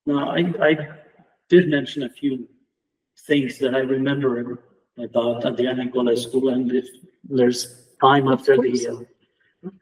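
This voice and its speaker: A middle-aged man from Romania with a Romanian accent, a native Romanian speaker giving a reflective memorial tribute as an English department lecturer, measured and halting with restarts. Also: medium pitch, fairly even intonation, darkish tone, distant mic, low-quality recording. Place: lecture room at a memorial round table.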